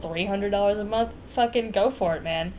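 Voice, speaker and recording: A woman's voice: medium pitch, moderate pace, a little mellow, a little hiss or hum. A young woman co-hosting a casual podcast, irreverent and given to exaggeration, swearing freely and often laughing as she talks. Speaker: podcast co-host. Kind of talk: casual, bantering podcast chat.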